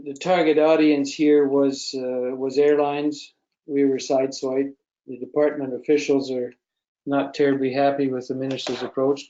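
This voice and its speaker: A man giving a measured, matter-of-fact informal briefing on a business conference call. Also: mid-range voice, a little mellow. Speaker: association speaker on the call. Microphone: conference call connection. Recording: dull call audio, faint noise, clicks.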